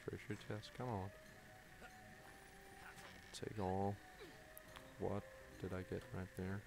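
A Danish-speaking man from Denmark talks over his gameplay, tired and stumbling, filling gaps with ums. His voice is low and monotone, and he drags his words out slowly. A loud wash of noise sits under everything. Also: gravelly. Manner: tired, halting, many ums and restarts. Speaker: gamer commentating his play. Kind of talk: live let's play commentary.

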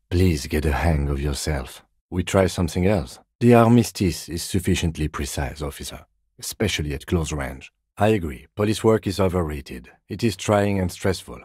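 A man voicing a dry, dutiful police lieutenant detective in a video game, speaking in a measured, formal, deadpan way. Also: rumbling low, husky, dry recording, exaggerated voice. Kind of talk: scripted video game dialogue.

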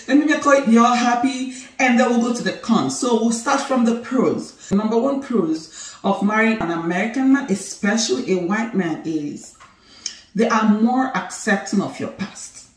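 Nigerian woman, 30s, animated and teasing, roomy far-off living-room sound. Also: fairly high pitch. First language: Igbo. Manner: animated, laughing, playful and teasing. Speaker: co-host of a couple's channel. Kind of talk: casual chat to camera. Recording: roomy, somewhat distant sound.

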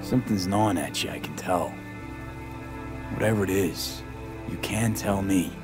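An actor playing a loyal, caring young mercenary in a video game, speaking in a low-key, sincere way. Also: male voice, normal speed, over music, close to an everyday voice. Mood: concerned. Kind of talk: video game cutscene dialogue.

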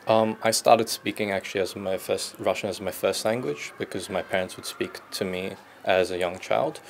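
A man's voice: low voice, normal speed, gravelly, a little hiss or hum. A young man from Australia, a student answering interview questions thoughtfully, often starting with um. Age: young adult. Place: classroom indoors. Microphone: small handheld mic near chest.

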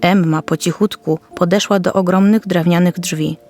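A woman's voice: fairly low pitch, fairly even intonation, moderate pace, a little mellow, faint music behind. A woman narrator reading a scripted children's story.

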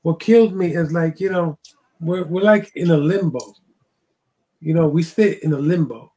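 A man in his sixties talks passionately over a video call from a room at home, using a headset mic. His voice sits a little high, and he leans on "you know" and "listen, man" as he builds his points. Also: normal speed, mellow, poor audio. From Puerto Rico. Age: 60s. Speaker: co-host. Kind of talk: casual video call chat.

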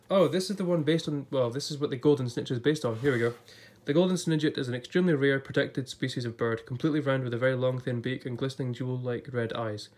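A man's voice: medium pitch, a little mellow. Chatty man recovering from illness. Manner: chatty, enthusiastic, thinking aloud with restarts. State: voice a bit off from recent illness. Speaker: YouTuber reviewing a book. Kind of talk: casual book flip-through vlog. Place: indoors at a table before a blue backdrop.

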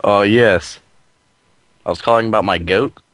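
A man making a prank phone call in a deadpan, earnest-sounding manner over an internet voice call. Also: lowish voice, unhurried, no echo. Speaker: prank caller.

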